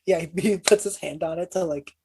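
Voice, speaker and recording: A woman with a husky voice playing a tabletop role-playing game, narrating a character's reactions in an animated, casual way. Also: fairly low pitch, fairly quick. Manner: animated, describes own character's reactions in third person.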